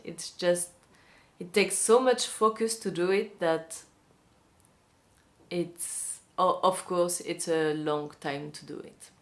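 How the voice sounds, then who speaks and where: A young woman with a French accent, talking casually and hesitantly to camera in a vlog, with many pauses and restarts. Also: fairly low pitch. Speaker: vlogger, magazine publisher.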